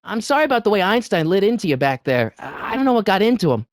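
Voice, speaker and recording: American teen adventure hero, high youthful voice, lively swooping pitch, earnest, anxious, hesitant, video game dialogue, dry close sound. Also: male voice, dry recording. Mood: friendly. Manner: hesitant, stammering, eager, fast-talking persuader. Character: earnest, anxious teenage boy hero of a time-travel adventure.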